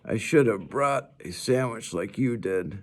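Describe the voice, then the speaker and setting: A man's voice: on the low side, expressive, measured pace. A man voicing a friendly, chatty young schoolgirl in a storybook, earnest and emphatic.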